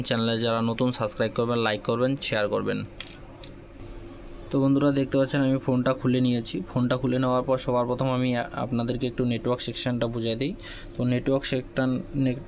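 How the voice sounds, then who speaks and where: A man talks very quickly through a casual how-to, with little rise or fall in pitch. He goes step by step, repeating phrases as he instructs. Some background noise sits behind his voice. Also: darkish tone. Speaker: phone repair tutorial host. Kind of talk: casual hands-on tutorial narration.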